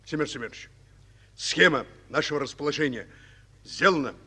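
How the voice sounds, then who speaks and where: A man's voice: a little high, fairly quick, pushed and bright, husky, full character voice. An actor playing a wounded Soviet colonel in a radio play, fatherly and commanding, with old-fashioned endearments. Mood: businesslike. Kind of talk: Russian radio play acting.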